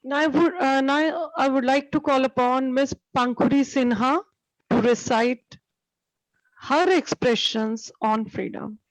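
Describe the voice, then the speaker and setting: A woman's voice: high voice, steady tone, unhurried, no echo, poor audio. A woman with an Indian accent politely and formally hosting an online poetry gathering over a video call. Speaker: host.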